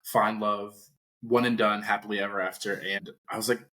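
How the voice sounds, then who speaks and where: A man's voice: lowish voice, flat delivery, moderate pace, fairly bright tone. A man hosting a podcast, joking and casual as he interviews a guest. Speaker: podcast host.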